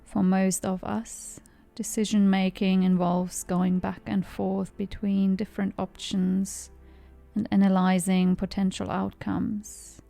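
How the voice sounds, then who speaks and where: A woman narrating a guided meditation in a calm, soothing, measured way. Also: mid-range voice, vocal fry. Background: background music.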